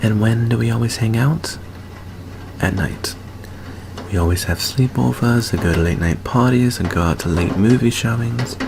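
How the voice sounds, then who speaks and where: A man playing a nervous, earnest teenage boy vampire in an intimate ASMR roleplay, speaking haltingly with small stammers. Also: mid-range voice, vocal fry, background noise, lightly acted.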